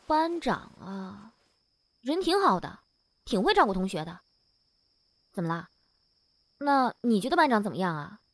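A woman's voice: mid-range voice, very animated, measured pace, long pauses, fairly bright tone, no echo, full character voice. A woman acting a cheerful, easygoing schoolgirl who playfully teases her best friend in a modern audio drama. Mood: casual, easygoing.

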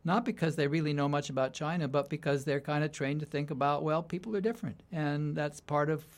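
Reflective man from the United States with a gravelly edge. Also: fairly high pitch, fairly even intonation, fairly quick. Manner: thoughtful, restarts sentences, laughs lightly. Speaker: university writing instructor. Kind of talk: conversational interview answers.

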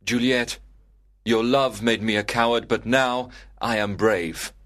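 A man's voice: mid-range voice, fairly even intonation, takes it slowly, fairly bright tone. A man playing a grieving young lover turned avenger, brooding and dramatic, in an audiobook tragedy. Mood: grieving, resolute.

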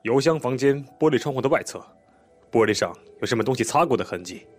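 An actor playing a police inspector in a radio drama, polite and measured but quietly pressing and official. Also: male voice, mid-range voice, expressive, soft background music, lightly acted. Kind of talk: broadcast drama acting.

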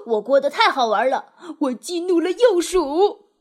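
Boastful storybook mole played by a woman: high, animated, gleeful, self-satisfied. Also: normal speed.

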